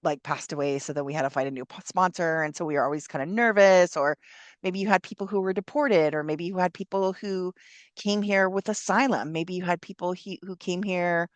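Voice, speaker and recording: A woman's voice: on the low side, a little fast, no echo. A woman from Southern California, an older college instructor, explaining an essay assignment in a chatty, encouraging way with lots of fillers. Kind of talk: casual classroom explanation.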